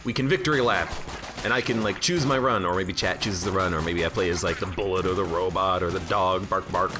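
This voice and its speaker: A man's voice: low-pitched, brisk. A man casually commenting on a video game and chatting with viewers, stuffed up from allergies and rambling with jokes at his own expense, game music and gunfire playing behind him. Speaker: game streamer. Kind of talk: casual let's-play commentary. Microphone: own desk microphone.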